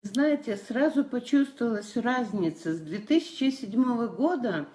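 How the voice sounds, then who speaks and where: A woman's voice: on the high side, animated, moderate pace, dark tone, a little hiss or hum. A woman, giving a personal testimony as a church member. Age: 60s.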